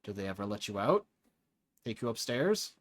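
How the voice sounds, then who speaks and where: A man's voice: lowish voice, sing-song, normal speed, voice pushed down. A man voicing a wary male lawman who asks dry, understated questions.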